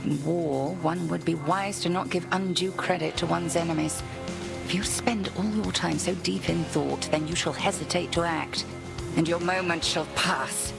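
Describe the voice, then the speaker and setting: A stern witch headmistress, low and deeply gravelly, who gives clipped, commanding orders. Her voice sits very deep for a woman, rough with fry. Game music plays clearly behind her. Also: steady tone, normal speed. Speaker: headmistress and mentor.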